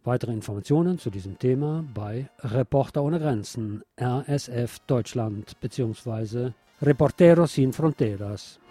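A man's voice: medium pitch, normal speed, music in the background. A man presenting a scripted radio news report. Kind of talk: scripted news reading, later live eyewitness report.

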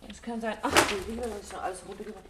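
A woman's voice: mid-range voice, racing, a little hiss or hum, close to an everyday voice. A woman playing a chatty, concerned wife. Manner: lively, conversational. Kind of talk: 1950s radio play acting.

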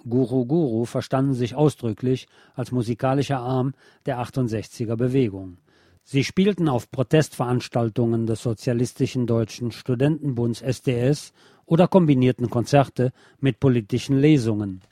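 Mellow man narrating, very dry sound. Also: mid-range voice, no echo. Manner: measured, literate, factual. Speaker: radio feature narrator. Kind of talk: scripted documentary narration.

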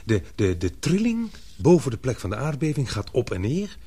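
An actor in a radio play playing a concerned, puzzled but patient lover who speaks hesitantly and soothingly. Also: male voice, medium pitch, wildly swooping pitch, normal speed, warm tone, slight background noise. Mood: attentive, thoughtful.

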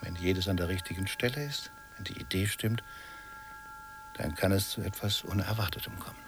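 An actor playing a calm, reserved, quietly teasing portrait painter in a radio play, speaking in a measured, understated way. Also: male voice, deep voice, noisy background. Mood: calm, enigmatic. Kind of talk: German radio play acting.

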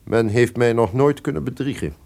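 An actor playing a cunning, distrustful lord who feigns friendship, formal and insinuating in a gothic radio drama. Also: male voice, medium pitch, normal speed, vocal fry, a little mellow, natural voice.

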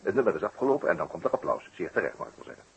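Gravelly-voiced courteous detective. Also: male voice, low-pitched, big pitch swings, heavily acted. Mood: probing. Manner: hesitant politeness, deliberate questioning. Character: courteous, shrewd, probing male detective. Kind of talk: 1960s radio play acting.

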